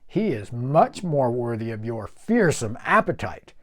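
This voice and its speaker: A man voicing a resourceful middle-sized male billy goat who speaks clearly and coaxingly. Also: mid-range voice, big pitch swings, unhurried, a bit bright. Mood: flattering.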